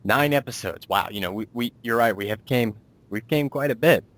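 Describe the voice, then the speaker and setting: A man's voice: mid-range voice, a little fast, fairly bright tone. A man hosting a casual interview as a writer and producer, talking in a rambling, self-deprecating way.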